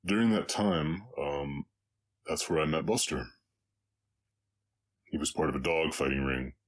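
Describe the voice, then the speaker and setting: An extremely low-voiced orc cowboy, acted by a man, talks with a slight Southern drawl. He is weary and gentle, pausing often as he reflects, as if confiding in one listener in an intimate audio drama. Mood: reflective, subdued. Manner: halting, reflective, soft-hearted, pausing often.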